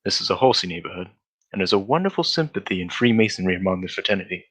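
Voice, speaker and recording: An actor playing a brisk, self-assured gentleman detective in an old-style radio drama, with precise, formal diction. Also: low voice, lively intonation, slightly rough, full character voice. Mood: assured, instructive. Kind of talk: 1940s radio drama acting.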